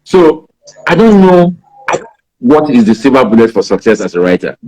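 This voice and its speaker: A Nigerian man in his fifties, a native Igbo speaker, answers interview questions about writing in a rambling way, filling pauses with "you know". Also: medium pitch, sing-song, warm tone, rough recording. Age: 50s. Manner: rambling, many "you know" fillers and restarts. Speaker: writer being interviewed.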